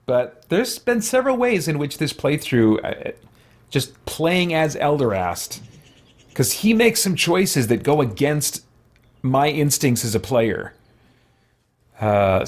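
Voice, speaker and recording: A man giving casual let's play commentary as a video host, thinking aloud with muttered asides and exclamations. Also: medium pitch.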